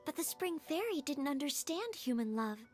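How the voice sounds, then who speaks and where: An earnest young storyteller in a game. The voice is high and gentle, telling things with care. Soft music plays behind. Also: female voice, big pitch swings, normal speed. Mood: earnest, storytelling. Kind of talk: video game voice acting.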